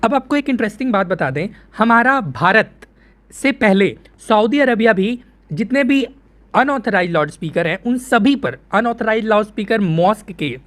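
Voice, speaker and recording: High-pitched Indian man explaining the news. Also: a bit bright. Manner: conversational, repeats phrases for emphasis, asks and answers own questions. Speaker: presenter of an explainer video. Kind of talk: news explainer, teaching informally.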